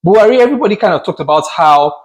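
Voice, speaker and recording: A man from Nigeria with a Nigerian accent, co-hosting a family channel in a relaxed chat and repeating words as he starts a thought. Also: high voice, racing, rough recording. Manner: chatty, laughs easily, repeats words when starting a thought. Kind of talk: casual chat.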